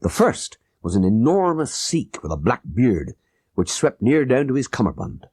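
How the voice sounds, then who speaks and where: A man's voice: mid-range voice, sing-song, normal speed, a little mellow, breathy edge, dry recording, clean studio sound, pitched above the performer's own voice. A man playing an old-time ex-soldier who earnestly and vividly recounts his crime in an audiobook. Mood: suspenseful. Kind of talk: audiobook character narration.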